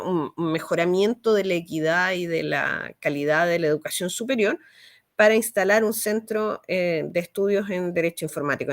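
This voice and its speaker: A middle-aged woman with a Chilean accent, telling stories in a chatty, expressive, colloquial way as an interview guest. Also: on the low side, no room sound, clean studio sound. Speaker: guest, law professor. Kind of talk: casual interview storytelling.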